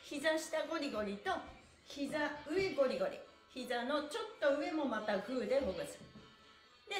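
Female exercise host, middle-aged, bright-spirited and encouraging, swooping intonation, roomy camera-mic sound. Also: high-pitched. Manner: cheerful, encouraging, exclamatory; polite, with playful honorifics for body parts. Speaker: stretching instructor and stream host. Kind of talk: live exercise lesson to viewers. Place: small bare-walled room indoors. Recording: roomy indoor echo.

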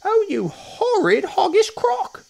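An excitable little storybook monkey. The voice leaps far up into a squealing high register, exclamatory and swinging wildly in pitch. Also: male voice, measured pace, full character voice. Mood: horrified, indignant. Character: excitable, indignant, kindly male monkey.

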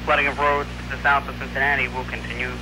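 A man announcer on weather radio, reading scripted forecasts and warnings in a formal, measured way. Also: medium pitch, little pitch movement. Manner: formal, measured bulletin delivery. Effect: radio broadcast. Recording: noisy 1990s weather radio broadcast with hum.

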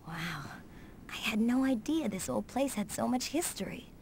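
A woman's voice: fairly high pitch, animated, moderate pace, creaky, a little hiss or hum. A young woman with an American accent playing an upbeat skater girl and comic artist in a video game cutscene, perky and slangy. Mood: eager.

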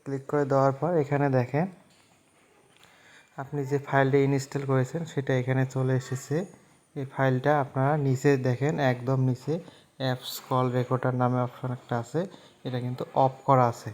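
A man's voice: medium pitch, completely flat delivery, normal speed, a little mellow. A man narrating a phone app tutorial step by step, in a repetitive, instructional way. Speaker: tech tutorial host.

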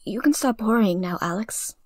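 A woman voicing a stern, composed guild mistress creature in a comic dub, speaking formally and with measured authority. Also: medium pitch, a little mellow, no room sound, studio quality, lightly acted. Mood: regretful. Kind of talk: fan comic dub voice acting.

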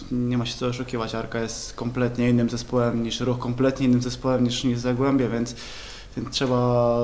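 A young man, a football player, answering questions at a press conference, halting with many repeated words and fillers. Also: mid-range voice, a little mellow, a little hiss or hum. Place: club press room, indoors. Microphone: table mics in front.

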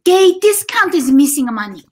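A fretful, peacemaking Korean immigrant mother. Her voice is high and swoops a lot as she pleads in broken English with a Korean accent, heard over a video call. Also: sing-song, moderate pace, a little mellow. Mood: pleading. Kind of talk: live table read.

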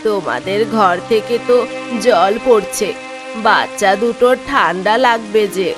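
A woman's voice: medium pitch, a little slow, close to an everyday voice. A woman voicing a sweet-talking, caring old granny in a cartoon fairy tale. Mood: caring, coaxing. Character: kindly-seeming old granny, a witch in disguise. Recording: loud music under the voice.

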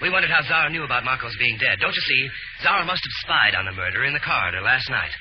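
A muffled vintage radio broadcast with music behind. An actor plays a shrewd male detective, assured and articulate, talking fast with lively intonation. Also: mid-range voice, natural voice. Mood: urgent deduction. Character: shrewd, composed male amateur detective, inspector's son. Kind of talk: 1940s radio acting.

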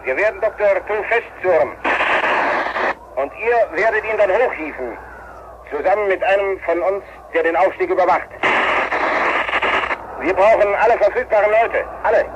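An actor playing a businesslike expedition leader in a radio drama, giving clipped orders over a walkie-talkie. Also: male voice, sits high, moderate pace, pauses often. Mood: urgent, businesslike. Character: businesslike male expedition leader directing a rescue. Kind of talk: radio play acting. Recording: dull, noisy two-way radio link.

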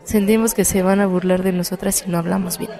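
Radio soap mentor: determined Mexican activist, played by a woman, mid-pitched, dark mellow tone, firmly encouraging. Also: fairly even intonation, fast, a faint crowd, lightly acted. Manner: firm, exhorting, colloquial. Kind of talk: radio soap opera acting.

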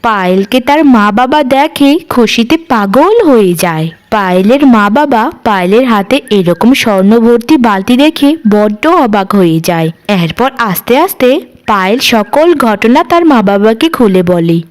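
A woman's voice: mid-range voice, animated, normal speed. A woman narrator reading a scripted fairy tale for a cartoon.

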